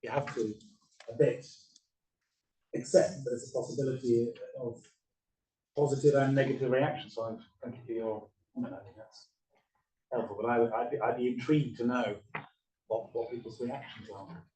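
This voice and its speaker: A man's voice: mid-range voice, steady tone, normal speed, long pauses, mellow, distant mic, low-quality recording. A man speaking on an art panel, hesitant with frequent restarts and fillers. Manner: hesitant, many restarts and ums. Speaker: panel speaker from the artist's studio. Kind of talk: discussion panel remarks.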